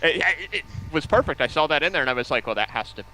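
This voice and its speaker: A man's voice: a little high, expressive, fast, pushed and bright, rough recording. A man appearing as a guest on a livestream, talking in casual banter. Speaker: stream guest.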